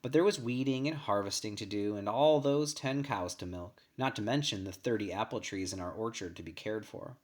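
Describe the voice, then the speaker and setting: A man with a medium-pitched voice reads a first-person short story aloud as scripted narration. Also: fairly quick, hardly any pauses. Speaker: story reader.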